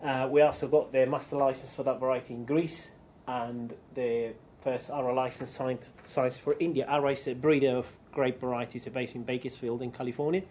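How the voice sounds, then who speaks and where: A man's voice: medium pitch, fairly even intonation, brisk, hardly any pauses, darkish tone, a little hiss or hum. A man from Chile with a Chilean accent, giving a keynote business presentation with frequent ums and restarts. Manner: hesitant, many ums and restarts. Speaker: keynote speaker.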